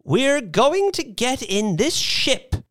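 A woman's voice: high voice, very animated, slow, no echo, studio quality, pitched above the performer's own voice, full character voice. A narrator voicing an indignant, bossy and proud old woman in her eighties, with an emphatic, declamatory delivery in a story reading. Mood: resolute, rallying.

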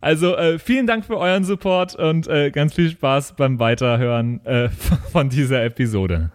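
A man's voice: on the high side, normal speed. A man hosting a podcast, giving a casual, friendly and informal introduction with an appeal to listeners. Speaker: podcast host.

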